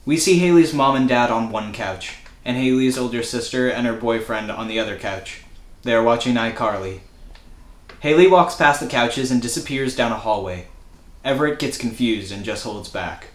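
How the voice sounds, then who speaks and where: A man's voice: mid-range voice, lively intonation, normal speed. A man narrating a screenplay, reading out the stage directions. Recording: echoey room.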